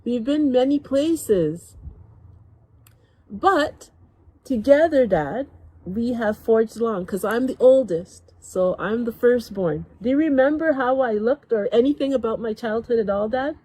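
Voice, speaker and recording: Husky-voiced woman host. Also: a little high, expressive, unhurried, dark tone, poor audio. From Guyana. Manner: rambling, asks long questions. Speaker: host interviewing her father. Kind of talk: casual family interview.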